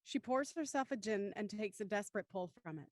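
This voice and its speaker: A woman's voice: medium pitch, expressive, fairly quick, dry recording, clean studio sound, natural voice. A woman narrating scene directions for an audio drama in a scripted storytelling style. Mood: matter-of-fact.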